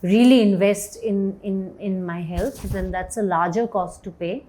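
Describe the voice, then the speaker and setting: A woman in her forties answers interview questions in a low, warm voice, taking her time and often pausing to find her words. She is candid and reflective, with easy laughter and a tone pitched at the middle of her range. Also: slight background noise. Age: 40s. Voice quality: low voice, self-described as bass. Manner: thoughtful, hesitant, laughs easily. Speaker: actor and writer, interview guest.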